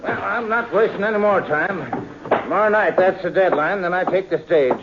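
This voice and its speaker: A man acting a grumbling railroad agent in a radio western, brusque and fed up. His voice sits rather high. The vintage recording is muffled and clearly noisy. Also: moderate pace, close to an everyday voice. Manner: curt, grumbling.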